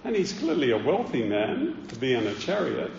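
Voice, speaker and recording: A man's voice: medium pitch, very animated, moderate pace, creaky, distant mic, noisy background. A male preacher voicing a puzzled early evangelist musing wryly to himself, in a roomy, dull church hall recording. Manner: wry inner musing, chatty asides.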